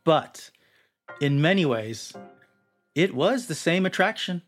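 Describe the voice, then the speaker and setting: Higher-pitched man narrating dramatically over music. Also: big pitch swings, takes it slowly. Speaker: narrator of a feature article. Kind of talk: scripted documentary narration. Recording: music bed under the voice.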